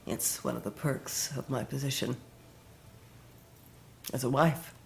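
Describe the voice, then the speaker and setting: An actress playing an imperious, bitter middle-aged commander's wife in an audio drama, curt and sardonic. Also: female voice, extremely low pitch, fairly even intonation, measured pace, vocal fry, a little hiss or hum, exaggerated voice. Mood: sardonic, commanding.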